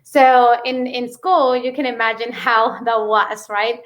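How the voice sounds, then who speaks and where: Female interview guest, Mexican accent, native Spanish speaker, higher-pitched voice, halting and conversational personal storytelling. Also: steady tone, a bit bright. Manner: halting, peppered with "you know".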